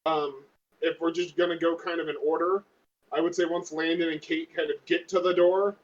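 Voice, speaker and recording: A man's voice: on the high side, little pitch movement, moderate pace. A man playing a tabletop game, thinking aloud hesitantly as he plans in casual chat. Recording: dull lo-fi voice recording.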